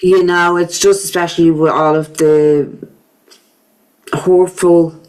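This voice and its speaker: A woman hosting an interview, asking questions gently and hesitantly with many "um"s. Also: lowish voice, little pitch movement, takes it slowly, poor audio. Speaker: podcast host.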